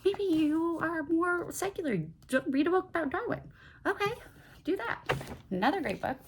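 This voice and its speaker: A woman with a creaky, fry-edged voice chats informally as a book vlogger. She rambles, breaks off mid-thought and asks herself questions. There is some noise in the recording behind her. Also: sits high, a little mellow. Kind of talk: casual book-talk vlog, unscripted chat.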